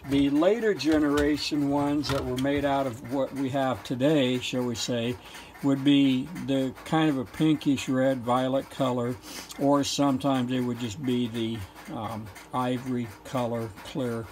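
A man giving an informal technical show-and-tell in a matter-of-fact, halting, explanatory way. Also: mid-range voice, fairly even intonation, darkish tone, rough recording. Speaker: presenter, telephone equipment collector.

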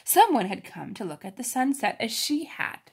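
A woman reads a children's story aloud with expressive emphasis, pausing to explain it informally. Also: on the high side, breathy edge. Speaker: storybook reader.

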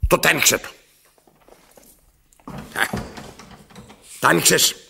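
An actor playing a domineering, self-pitying old blind man in a radio drama, raspy and gravelly, imperious and dramatic, repeating his phrases. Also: fairly high pitch, sharp tone, slight background noise, full character voice. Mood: demanding. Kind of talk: theatrical radio drama acting.

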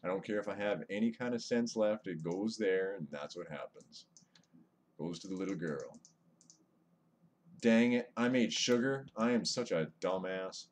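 Male live streamer, deep voice, chatty, greets chat mid-game; webcam mic, faint hiss. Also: normal speed. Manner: chatty, greets viewers, mutters over gameplay. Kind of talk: casual live-stream chat while gaming. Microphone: webcam microphone, away from mouth.

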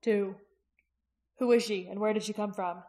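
A woman voicing a calm, steady young male dragon trainer in an audiobook, talking evenly and soothingly. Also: mid-range voice, natural voice. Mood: wry, thoughtful. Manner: even, crooning, measured.

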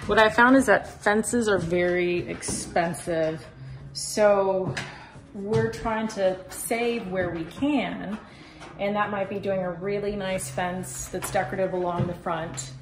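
A woman's voice: medium pitch, fairly even intonation, slow pace. A woman in her thirties giving a casual, explanatory walk-through tour as a homeowner, recorded at a distance inside a bare renovation site. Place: indoors in a bare wood-framed house under renovation. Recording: roomy echo, distant mic, loud site noise.

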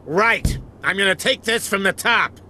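An actor playing an irritable, self-important police chief who blusters and barks orders in a comedy game. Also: male voice, sits high, a little slow, sharp tone, slight background noise, full character voice. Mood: pompous briefing. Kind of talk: animated comedy game acting.

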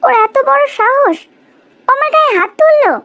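A vengeful young college villainess played by a woman in a cartoon drama. She is haughty and commanding, giving spiteful orders in an extremely high voice. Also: lively intonation, normal speed, fairly bright tone, exaggerated voice. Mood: vengeful, indignant. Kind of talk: animated cartoon drama dialogue.

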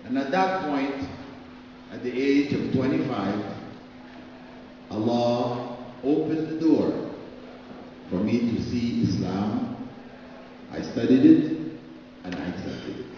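Middle-aged male lecturer, measured pauses, podium mic, heavy hall echo from far off. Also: mid-range voice, expressive, a little hiss or hum. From Canada. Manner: slow, deliberate, pausing between phrases. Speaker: Islamic lecturer. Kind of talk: public lecture. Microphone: podium microphone on stand. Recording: echoey hall, distant, dull audio.

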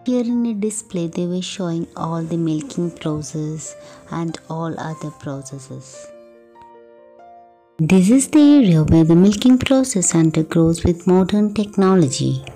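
A woman's voice: on the low side, animated, measured pace, warm tone, soft background music. A woman vlogger narrating a farm trip in a plain voice-over, explaining each stop simply.

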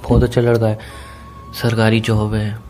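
A man's voice: on the low side, monotone, moderate pace, darkish tone. A young man in an emotional private phone conversation, speaking in a pleading, insistent way. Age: young adult. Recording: phone call recording with music. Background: music.

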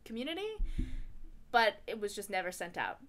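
A woman's voice: medium pitch, sing-song, normal speed, fairly bright tone. A young adult woman co-hosting a podcast, telling stories in a casual, playful, giggly way. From born in Kuala Lumpur, Malaysia. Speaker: podcast co-host. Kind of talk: casual chat, storytelling.

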